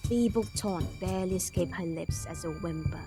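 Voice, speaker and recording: A woman's voice: fairly low pitch, normal speed. A woman reading dramatic scripted narration for a visual novel as its storyteller. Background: music clearly behind the voice.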